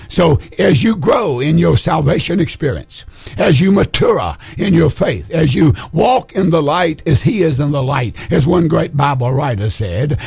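A hoarse man preaching with a rough, gravelly rasp and a slightly raised pitch. He presses his points hard and repeats key phrases. The sound is close and dry, with almost no room around it. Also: a little mellow, no echo. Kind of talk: Pentecostal sermon teaching.

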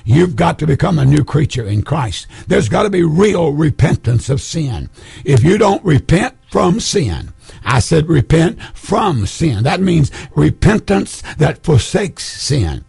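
A hoarse man preaching an emphatic Pentecostal sermon, repeating key phrases for stress. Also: on the high side, sing-song, a little slow, darkish tone, no echo.

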